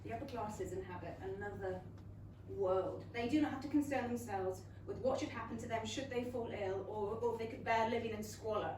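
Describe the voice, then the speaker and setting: A woman's voice: a little high, brisk, a little mellow, natural voice. Actress as a defiant Englishwoman, very echoey. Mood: indignant. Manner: pointed, dry retorts. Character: sharp-witted, defiant young Regency gentlewoman. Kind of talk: period comedy stage acting. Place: small London theatre. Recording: very echoey theatre, far mic, faint noise.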